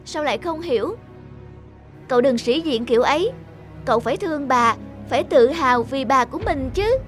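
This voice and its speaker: A woman's voice: sits high, animated, moderate pace. A woman playing an earnest, helpful young schoolgirl in a cartoon, forthright. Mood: reproachful. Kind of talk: cartoon voice acting. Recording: music loud behind the voice.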